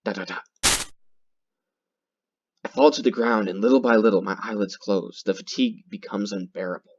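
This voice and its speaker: A man reading horror game text aloud in a theatrical, halting way, repeating words and adding his own comments. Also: lowish voice, breathy edge. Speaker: gaming video host. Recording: dull lo-fi sound with loud music. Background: loud guitar music.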